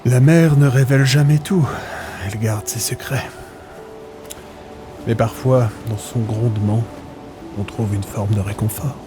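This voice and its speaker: A man's voice: medium pitch, a little slow, long pauses, over music, close to an everyday voice. A man playing a melancholy old sailor worn by storms, speaking in a brooding, poetic way in a French audio drama. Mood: melancholy, reflective.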